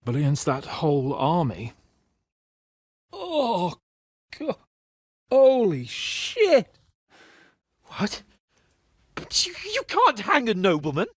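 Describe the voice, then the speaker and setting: An actor playing a hot-headed, haughty young medieval nobleman in a video game, theatrical and quick to turn indignant. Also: high voice, huge pitch swings, husky, no room sound, studio quality, full character voice. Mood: earnest, then stunned disbelief. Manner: foul-mouthed, indignant, theatrical. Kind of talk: video game character voice lines.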